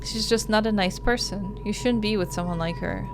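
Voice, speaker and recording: A woman's voice: medium pitch, normal speed, over music, lightly acted. A woman voicing a young lost little sister from a visual novel, earnest, pleading and insistent. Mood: distrustful. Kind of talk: visual novel character read aloud.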